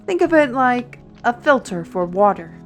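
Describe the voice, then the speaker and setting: A woman's voice: a little high, big pitch swings, moderate pace, faint music behind. A woman playing a wry, learned artisan steward in a fantasy audio roleplay, talking conversationally and instructively to the listener. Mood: explaining, brisk.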